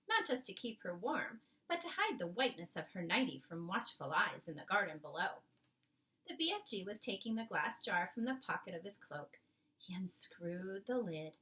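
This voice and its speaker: A woman reading a children's book aloud expressively, with careful, dramatic phrasing and pauses. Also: mid-range voice, fairly bright tone, distant mic, poor audio. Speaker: storybook reader.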